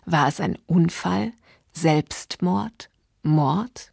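A woman radio host giving a scripted introduction to a literary reading in a measured, explanatory manner. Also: on the low side, gravelly, darkish tone, breathy edge, no room sound, studio quality. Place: dry radio studio.

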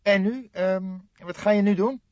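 A man's voice: sits high, a little slow, no echo, lo-fi sound, voice pushed up. A man voicing an eager young police detective in an audiobook, an inquisitive and slightly teasing junior partner.

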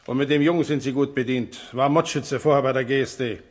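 An actor playing an obliging master car mechanic in a radio crime drama, clipped and matter-of-fact. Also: male voice, medium pitch, steady tone, brisk, close to an everyday voice. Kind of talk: 1970s German radio crime drama acting.

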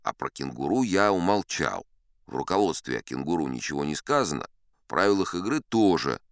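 Deep, swooping, boastful old-salt sea captain. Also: male voice, big pitch swings, clean tone, dry recording, clean studio sound, heavily acted. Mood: sly. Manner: conversational, wry, unhurried raconteur with dramatic pauses. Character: boastful seasoned sea captain telling tall tales. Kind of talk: theatrical first-person audiobook storytelling.

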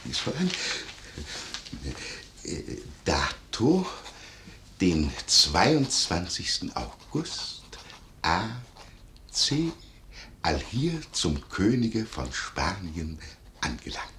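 An actor playing a fawning, nosy innkeeper in a classic comedy radio drama, with a hesitant, pedantic and obsequious manner. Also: male voice, low voice, wildly swooping pitch, very slow, long pauses, fairly bright tone, reverberant, slight background noise, heavily acted.

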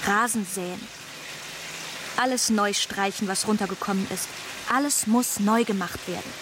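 A wounded but determined eleven-year-old girl acted by a woman mourns her mother in a radio play. She speaks at a medium pitch, in simple, childlike poetic phrases. Rain-like noise is clearly heard behind her. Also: normal speed, natural voice. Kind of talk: radio drama monologue. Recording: clearly noisy rain-like background.

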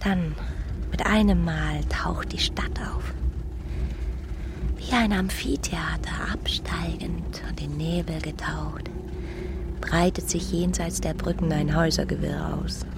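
A woman's voice: low-pitched, fairly even intonation, slow, gravelly, background noise, heavily acted. An actress playing a passionate, scheming young married woman in a literary radio drama. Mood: dreamy, intimate.

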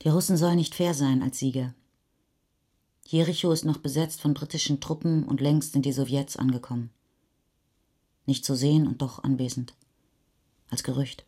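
A woman with a low, mellow voice reads scripted literary prose for radio. The tone has a husky grain. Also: steady tone, moderate pace, long pauses. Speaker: narrator reading literary prose.

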